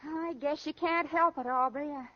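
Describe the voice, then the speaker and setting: An actress playing a devoted, worried, forgiving wife, soothing and earnest, in an old radio drama. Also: female voice, high voice, normal speed, close to an everyday voice. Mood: dismayed, forgiving. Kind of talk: old-time radio acting. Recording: dull, noisy old radio broadcast.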